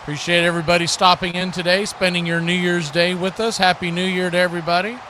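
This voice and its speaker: American guy doing live football play-by-play, pretty high voice, clipped calls, noticeable background noise. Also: level tone, fast talker. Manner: clipped present-tense calls. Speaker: play-by-play commentator and watch party host.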